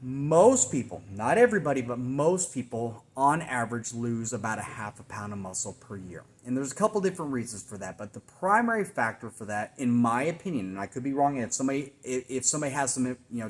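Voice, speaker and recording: A man's voice: mid-range voice, animated, fairly quick. A man in his forties, a fitness trainer, talking casually through a weekly check-in and explaining things with plenty of fillers and restarts. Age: 40s. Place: home workout room.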